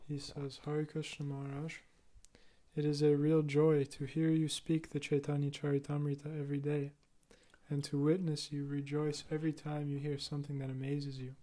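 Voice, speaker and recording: A man moderating an online class, reading out a listener's message in a flat, even way. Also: a little high, normal speed.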